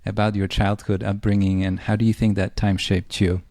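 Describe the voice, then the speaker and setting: Man, somewhat low voice, podcast host, thoughtful, light laughs. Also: little pitch movement, moderate pace, warm tone. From Poland. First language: Polish. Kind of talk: conversational interview.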